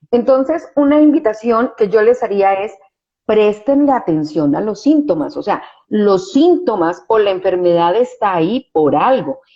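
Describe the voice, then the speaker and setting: A woman's voice: medium pitch, dark tone, lo-fi sound. A woman psychologist, explaining her view in an interview, often restarting phrases and adding tag questions for agreement. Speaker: psychologist guest.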